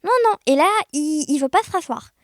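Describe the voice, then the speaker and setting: A woman chatting casually as an interview guest, telling anecdotes in an animated, conversational way. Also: sits high, a little fast, dry recording, clean studio sound. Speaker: podcast guest, blind guide dog user sharing experiences.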